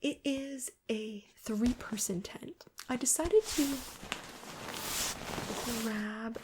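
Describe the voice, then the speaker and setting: A woman speaking softly and often in a breathy whisper, gently and a little hesitantly, as a store clerk in an ASMR role-play. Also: fairly high pitch, drawn-out words, noisy background. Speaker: host role-playing a camping store clerk. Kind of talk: ASMR role-play, soft-spoken sales help.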